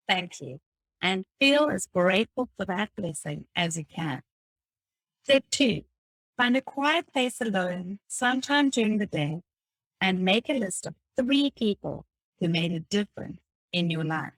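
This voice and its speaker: Female narrator for self-help audiobook, medium pitch, deliberate instructive read, very little room sound. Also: a bit bright, dry recording, low-quality recording. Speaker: reader of a self-help book. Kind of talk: scripted read-aloud of a motivational audiobook text.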